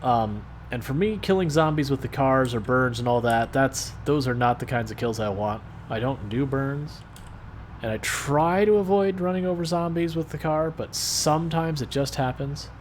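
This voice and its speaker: A man streaming a game live, talking through his play in a calm, soothing, laid-back way. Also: medium pitch, normal speed, slight background noise. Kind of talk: casual live stream gameplay commentary.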